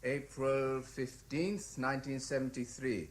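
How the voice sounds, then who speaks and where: An actor playing a formal, officious court clerk reading documents aloud in a radio drama. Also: male voice, mid-range voice, flat delivery, unhurried, a little hiss or hum, lightly acted. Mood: measured. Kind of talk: 1970s radio drama acting, formal read-out.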